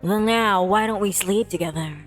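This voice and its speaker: A woman's voice: medium pitch, normal speed, lightly acted. A woman voicing a playful, nonchalant male spirit in a visual novel, with a mock-innocent manner. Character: playful teasing male spirit in borrowed body. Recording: music playing behind the voice.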